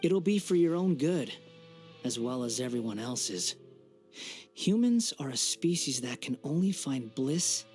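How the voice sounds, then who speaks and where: A man's voice: medium pitch, very animated, unhurried, darkish tone. An arrogant young man with godlike ambitions in an anime game. He speaks with a theatrical, condescending air, savoring every taunt. Music plays behind his words. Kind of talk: English-dubbed anime game voice acting. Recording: background music under the voice.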